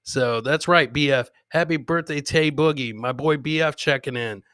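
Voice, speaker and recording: Bright, forceful male podcast host. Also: on the high side, normal speed, clean tone, no echo, studio quality. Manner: slangy, repeats himself, addresses listeners directly. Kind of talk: casual live chat with viewers.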